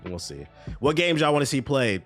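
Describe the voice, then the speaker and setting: A man's voice: medium pitch, very animated, normal speed, a bit bright. Stuffy-nosed guy streaming, reads news then jokes with chat, mid voice, little bit of music in the back. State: congested from a cold. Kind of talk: casual live stream commentary.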